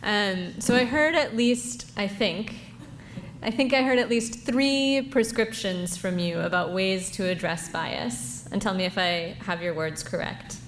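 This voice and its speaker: A woman's voice: medium pitch, unhurried, a little hiss or hum. A woman moderating a panel discussion, who sums up what speakers said and affirms them. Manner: affirming, paraphrases speakers' points back.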